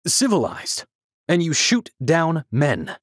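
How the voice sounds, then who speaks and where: An actor playing a principled big-game hunter in an audio drama, blunt and morally outraged. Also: male voice, on the high side, wildly swooping pitch, slow pace, no echo, clean studio sound, full character voice. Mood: appalled, challenging.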